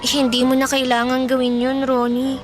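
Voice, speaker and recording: A woman playing a gentle sensible teenage girl. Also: sits high, completely flat delivery, normal speed, a little hiss or hum, lightly acted. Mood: tender. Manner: soft, thoughtful, gently reasoning. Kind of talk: radio drama acting.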